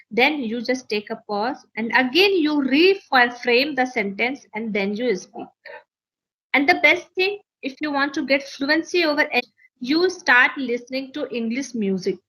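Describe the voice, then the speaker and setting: A woman with an Indian accent, an English teacher whose first language is Maithili, gives earnest advice on speaking practice. Her voice sits high and sounds bright and clear. She often repeats and restarts phrases as she explains. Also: poor audio.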